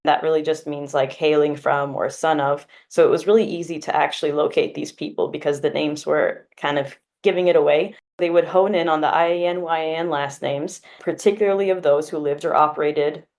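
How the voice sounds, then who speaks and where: A woman's voice: low voice, fairly even intonation, fairly quick, hardly any pauses, darkish tone. A woman in her twenties, a law student from California, answering interview questions in an earnest, explanatory way with occasional fillers. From Glendale, California, US.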